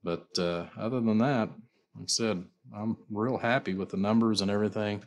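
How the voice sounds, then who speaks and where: A man with a very deep voice walks through an informal product demonstration. He speaks as the builder and seller, rambling and leaning on repeated stock phrases as he explains. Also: fairly even intonation, moderate pace. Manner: rambling, repeats "you know" and "like I said". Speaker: amplifier builder and seller presenting his work.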